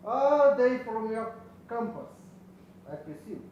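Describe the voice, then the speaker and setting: A Kenyan man playing a calm, dignified father in a stage play, speaking in measured, formal phrases from far off. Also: extremely high pitch, lo-fi sound, full character voice. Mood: grave. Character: reassuring middle-aged father, calm, dignified head of household. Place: stage, distant microphone. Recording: echoey stage recording from far off, faint noise.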